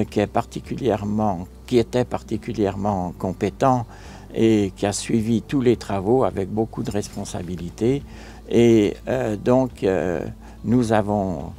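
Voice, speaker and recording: Older man, low mellow voice, formal. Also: gravelly. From France. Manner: measured, formal, a few fillers. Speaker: mayor. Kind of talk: interview answers, measured account. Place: outdoors on a stone chapel tower balcony.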